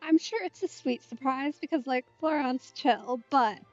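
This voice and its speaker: Slow-paced woman streamer, slightly high voice. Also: no room sound, dull audio. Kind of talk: casual let's play commentary. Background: game music.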